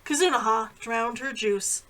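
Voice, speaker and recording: A woman reads a novel aloud in a fairly high voice. Her delivery is expressive storytelling, shaped like scripted audiobook narration. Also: unhurried. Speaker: author reading own novel aloud.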